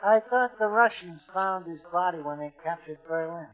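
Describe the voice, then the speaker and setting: Guy playing skeptical barkeep, casual, highish voice, muffled old radio. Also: normal speed, close to an everyday voice. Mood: curious. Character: curious, skeptical American bartender. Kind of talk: old-time radio drama acting. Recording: muffled vintage radio broadcast, music behind.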